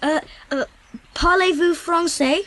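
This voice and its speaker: A woman's voice: extremely high pitch, measured pace, a bit bright. A woman playing an inquisitive, cocksure English child in an audio drama, eager and opinionated. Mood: cocksure, curious.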